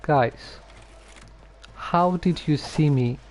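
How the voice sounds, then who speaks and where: A man giving casual, halting game commentary on a stream, low-key and dryly grumbling. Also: noisy background. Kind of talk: casual gameplay commentary, some reading aloud.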